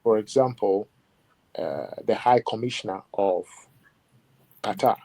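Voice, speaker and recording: Measured, reflective man with a dark tone. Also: on the low side, rough recording. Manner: reflective, measured, with many "you know" asides. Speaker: musician guest. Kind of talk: interview conversation.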